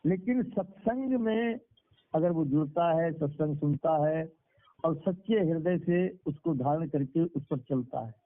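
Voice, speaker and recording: Higher-voiced male preacher on a phone line. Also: unhurried. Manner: repeats phrases for emphasis. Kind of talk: devotional sermon with moral storytelling. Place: speaking over a conference call. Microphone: telephone. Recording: tinny phone conference-call line.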